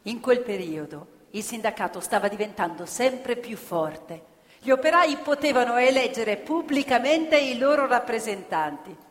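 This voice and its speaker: An actress playing a proud, impassioned storyteller of women factory workers, emphatic and theatrical in a radio theatre piece. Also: female voice, fairly high pitch, animated, moderate pace, natural voice. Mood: recounting. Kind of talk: stage radio theatre narration in Italian. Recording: reverberant hall, loud live music behind. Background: loud live musical instruments.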